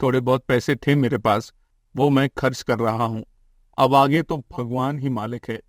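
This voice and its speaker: A man's voice: mid-range voice, steady tone, moderate pace, dry recording, studio quality, natural voice. A man voicing a poor, troubled family man, earnest and plaintive, in a cartoon moral story. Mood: worried, resigned. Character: poor, distressed, pleading young family man.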